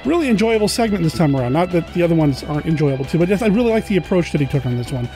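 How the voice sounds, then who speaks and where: A male podcast host with a fairly high voice chats informally and with excitement. He addresses listeners directly while soft music plays underneath. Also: racing. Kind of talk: casual enthusiastic chat to listeners.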